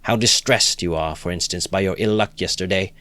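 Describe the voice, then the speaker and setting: An eager young idealist lectures with emphatic zeal, his voice pushed higher and louder than the reader's own deep tone, as a man puts him on. The recording is close and dry. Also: normal speed, dry recording, lightly acted. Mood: earnest. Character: earnest, excitable young male idealist, preachy. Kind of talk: audiobook reading.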